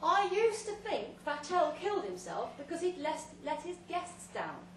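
Woman as a grandiose, drugged celebrity chef, swooping loudly. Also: high voice, big pitch swings, a little slow, pitched above the performer's own voice, exaggerated voice. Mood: earnest. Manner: declamatory, pompous, emphatic. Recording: roomy, distant live recording, faint noise.

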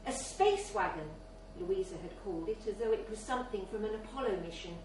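A woman's voice: mid-range voice, expressive, brisk, darkish tone. A woman reading a literary short story aloud as scripted narration at a live event in a bar. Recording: very echoey, distant mic, faint noise.

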